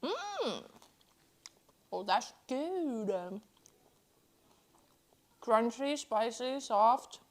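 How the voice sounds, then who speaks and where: Chatty woman, slightly high voice. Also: big pitch swings, very slow, long pauses. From Norway. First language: Norwegian. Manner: chatty, talks between bites, reads out chat. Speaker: streamer. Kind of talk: casual chat while eating on stream.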